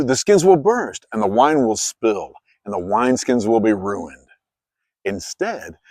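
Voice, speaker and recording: A man acts out a male teacher and healer from a scripture story. He is calm, solemn and authoritative, weighing his words with a very lively, wide-ranging delivery in a dry, close sound. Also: medium pitch, dry recording.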